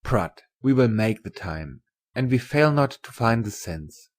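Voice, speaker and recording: A man playing a kindly middle-aged German professor in a dramatised audiobook, with a German accent and hearty, deliberate broken English. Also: mid-range voice, lively intonation, close to an everyday voice. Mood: kindly, teasing. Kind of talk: dramatised literary audiobook dialogue.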